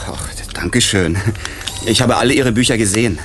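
An actor in a German radio play, playing a breezy, boyish, scatterbrained tycoon with a casual way of talking. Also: male voice, lowish voice, moderate pace, noisy background, lightly acted. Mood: cheerful, distracted.

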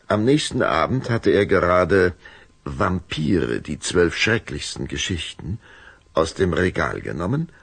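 A man narrating a scripted children's audio drama in a dramatic, expressive storytelling manner. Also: deep voice, unhurried, creaky, breathy edge, no room sound.

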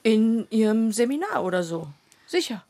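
An actress playing an insistent, earnest wife in a radio play, husky and creaky, coaxing and directing with emphasis. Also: female voice, a little high, very animated, moderate pace, darkish tone.

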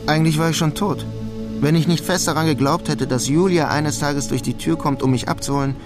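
An actor playing a melancholy young German emigrant painter in New York, understated and wry, in a radio drama. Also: male voice, on the high side, fairly even intonation, a little fast, over music, natural voice. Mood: brooding. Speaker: protagonist and narrator of a radio crime drama. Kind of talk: German radio drama, introspective narration and dialogue.

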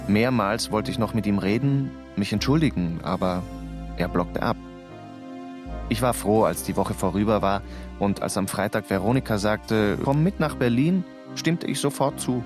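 A man's voice: on the low side, normal speed, music in the background, natural voice. An actor playing a young theatre worker who narrates his letters and questions everything, in a radio drama. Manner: questioning, doubtful.

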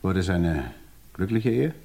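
A calm investigator in a radio crime play, pressing a widow with measured, insistent questions. His voice sits fairly low and moves lively up and down as he probes. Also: gravelly, exaggerated voice. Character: calm, probing male investigator interrogating a widow. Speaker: interrogator.

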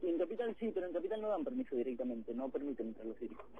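A man's voice: medium pitch, big pitch swings, racing, a little hiss or hum. A man in his twenties with a Rioplatense accent, a circus performer calling in by phone and speaking in a plain, matter-of-fact way. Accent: Rioplatense Spanish. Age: 20s. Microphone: telephone. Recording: phone line, husky.

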